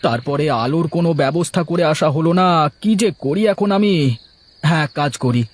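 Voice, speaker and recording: A man voicing a good-natured but anxious village fisherman in a moral-story cartoon, animated and homely, full of exclamations. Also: on the high side, a little fast. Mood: puzzled, musing. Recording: music behind the voice.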